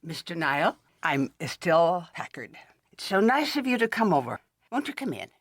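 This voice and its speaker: An actress playing a refined, middle-aged wealthy widow in a radio detective drama, with a formal, confiding way of talking. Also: female voice, on the low side, sing-song, moderate pace. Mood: gracious. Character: wealthy widow, refined, gracious, worried hostess.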